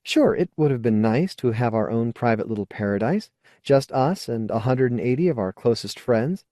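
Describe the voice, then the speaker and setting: A man's voice: medium pitch, lively intonation, normal speed, mellow, dry recording, clean studio sound, voice pushed up, lightly acted. A man voicing a frank, earnest, coaxing woman in an audiobook. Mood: exasperated, frank. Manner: earnest, coaxing, plain-spoken. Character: frank, persuasive woman colonist, old friend. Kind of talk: audiobook character dialogue.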